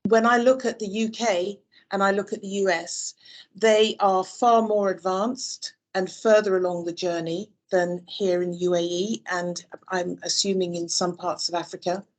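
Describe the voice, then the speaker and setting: A woman's voice: mid-range voice, fairly even intonation, a little slow. A woman from the UK answering interview questions on a video call, thoughtful, with many fillers and restarts. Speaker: interview guest. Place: Dubai.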